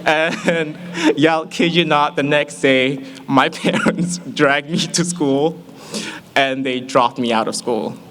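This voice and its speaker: A man's voice: fairly high pitch, takes it slowly. Playful young man telling his story. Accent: American. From Malaysia. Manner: animated, playful storytelling with put-on voices. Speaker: student giving a testimony. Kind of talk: humorous personal testimony to an audience.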